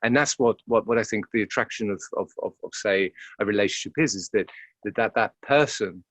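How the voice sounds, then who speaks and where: Low-voiced, halting man in thoughtful conversation. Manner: halting and searching, often repeating words. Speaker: interview guest, actor. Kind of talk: reflective, informal interview conversation.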